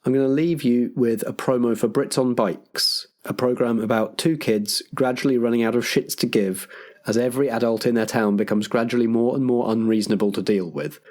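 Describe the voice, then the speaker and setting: A man's voice: medium pitch, fairly even intonation, fairly quick, darkish tone. Man hosting with scripted announcements. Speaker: podcast host and compere.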